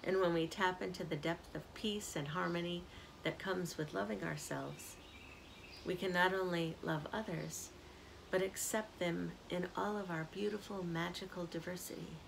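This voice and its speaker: An older woman, a community minister, giving a gentle spiritual reflection on an outdoor porch, filmed by a camera close up. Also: fairly low pitch, fairly even intonation, gravelly, a little hiss or hum, rough recording. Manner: slow, soft-spoken, reflective. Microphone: camera at close range. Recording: outdoor ambience audible behind.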